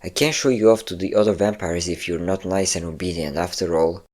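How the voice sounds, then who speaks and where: Deep-voiced, smug vampire lord played by a man. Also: a little mellow. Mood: controlling, pleased. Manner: smug, teasing, drawn-out endearments. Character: possessive, mocking male vampire lord, obsessive lover. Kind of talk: intimate audio roleplay acting.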